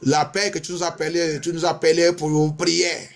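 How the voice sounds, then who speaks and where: High-pitched man praying insistently. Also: level tone, moderate pace, creaky. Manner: insistent, repetitive chanting of prayer phrases. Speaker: pastor leading prayer. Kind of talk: fervent bilingual prayer and sermon.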